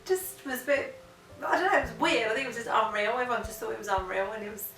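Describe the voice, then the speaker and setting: A woman's voice: fairly high pitch, fast talker, a bit bright. A woman in her forties from London musing casually in an interview. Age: 40s. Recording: echoey, distant mic, music underneath.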